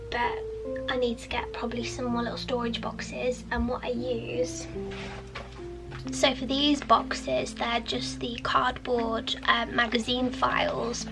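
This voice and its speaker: A young woman with a cold talks casually in a soft, half-whispered, rambling way from her small home art studio. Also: on the high side, vocal fry. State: sniffly with a cold. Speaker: artist vlogger. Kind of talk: casual studio vlog chat.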